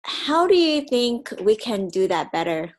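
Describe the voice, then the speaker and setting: A woman's voice: a little high, big pitch swings, measured pace. A woman hosting a podcast, interviewing a guest in a conversational style. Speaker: podcast host.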